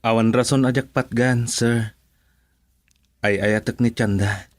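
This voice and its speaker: A man's voice: mid-range voice, fairly even intonation, measured pace, no echo, lightly acted. Earnest young sweetheart played by a man. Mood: respectful, wounded. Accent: Filipino. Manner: earnest, respectful, pleading. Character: earnest, humble young tailor, devoted sweetheart and son. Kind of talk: radio drama acting.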